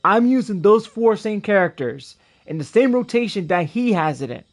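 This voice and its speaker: A man with a fairly high voice talks casually to his stream. He rambles along, often circling back to repeat a phrase. Faint music plays behind him. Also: expressive, normal speed. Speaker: game streamer. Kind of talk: casual livestream chat over gameplay. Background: game music.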